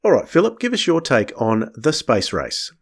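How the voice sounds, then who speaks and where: A man's voice: mid-range voice, wildly swooping pitch, normal speed. A man hosting a podcast, chatting casually as he reviews. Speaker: podcast host.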